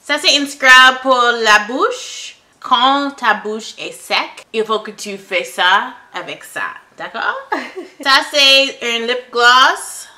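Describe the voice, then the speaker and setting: Bright-voiced young woman fumbling through French. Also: on the high side, animated. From Canada. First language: English. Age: young adult. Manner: halting, searching for words, laughing at herself. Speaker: beauty vlogger. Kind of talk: casual makeup tutorial chat.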